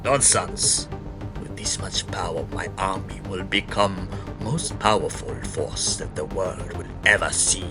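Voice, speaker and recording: Man playing a slow, deep-voiced villainous general. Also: music in the background. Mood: grandiose, scornful. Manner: grandiose, imperious, deliberate delivery. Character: merciless Nazi general, pompous, menacing adult man. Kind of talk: audio drama villain acting.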